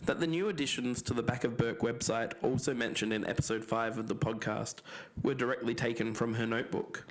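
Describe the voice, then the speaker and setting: A man's voice: mid-range voice, steady tone, a little fast, close to an everyday voice, low-quality recording. A man playing a sober, methodical podcast investigator, explaining evidence carefully and with some hesitation. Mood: matter-of-fact. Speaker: podcast co-host. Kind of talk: scripted fiction-podcast narration.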